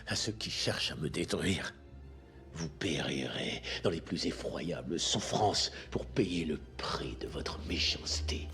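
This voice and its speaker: An actor playing a menacing ruler, delivering a solemn, threatening monologue in a dramatic production. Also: male voice, very deep voice, expressive, unhurried, a bit bright, whispered, soft background music, full character voice. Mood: cold menace. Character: menacing self-proclaimed ruler vowing to destroy heretics.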